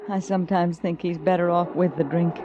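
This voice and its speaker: An actress playing a worried, loyal frontier wife with an American accent in an old western radio drama, folksy, earnest and plaintive. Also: female voice, on the low side, steady tone, fairly quick, muffled recording, lightly acted. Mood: weary, confiding. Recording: noisy old radio broadcast recording.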